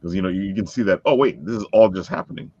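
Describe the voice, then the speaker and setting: A man chatting casually as an interviewer on a podcast, with a halting delivery that often repeats words. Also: deep voice, warm tone, dry recording. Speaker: interviewer and fellow writer. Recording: dull, close, lo-fi call audio.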